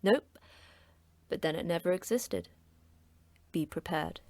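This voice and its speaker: A terse, hard-nosed female boss, played by a woman, who speaks in blunt, clipped, sardonic lines. Her voice sits a little low, and the recording is dry and close, with no room echo. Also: huge pitch swings, takes it slowly, a little mellow, exaggerated voice. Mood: stern. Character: terse, tough female facility manager.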